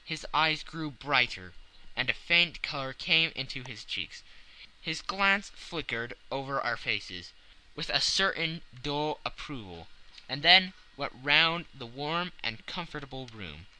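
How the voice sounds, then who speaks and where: A man's voice: medium pitch, big pitch swings, measured pace, bright, forceful tone, poor audio. A man narrating classic fiction as a dramatic scripted audiobook.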